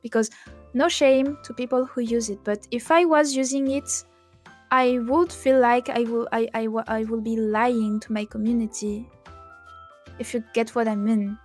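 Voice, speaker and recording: High-voiced woman, halting casual chat. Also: steady tone. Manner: halting, repeats words and restarts often. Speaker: VTuber, podcast host. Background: background music.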